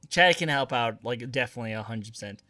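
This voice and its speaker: A man's voice: medium pitch, lively intonation, fast talker, sharp tone, no echo, faint music behind. A man hosting an interview on a stream, bubbly and laughing often in a casual chat.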